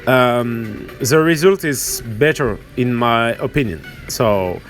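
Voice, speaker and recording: A man with a French accent and French as his first language gives an informal hands-on painting tutorial, hesitant and halting as he searches for words. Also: medium pitch, expressive. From France or French-speaking region. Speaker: miniature painting tutorial host.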